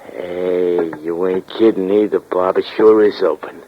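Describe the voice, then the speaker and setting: A man playing an impressed, slow-talking crook in a 1940s radio crime drama. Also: sits low, fairly even intonation, noisy background. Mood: awed, excited. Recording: dull old 1940s radio recording.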